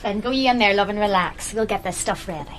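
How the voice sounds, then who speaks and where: A woman's voice: medium pitch, quick pace, a little hiss or hum, natural voice, rough recording. An actress playing a fussing, loving young Irish woman who cares for her partner, in a naturalistic radio drama. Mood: weary, confiding. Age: young adult.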